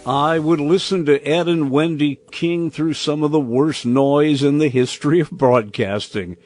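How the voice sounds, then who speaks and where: An older American man from Indiana narrating a scripted personal reminiscence as host, in a measured storyteller's way. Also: fairly high pitch, a little mellow, dry recording, faint music behind. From Indiana, United States.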